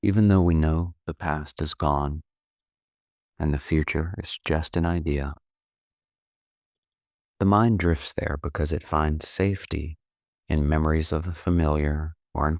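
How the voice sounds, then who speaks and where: A man leading a guided meditation as a coach, calm and unhurried, gently instructive with long pauses. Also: extremely low pitch, dry recording, studio quality.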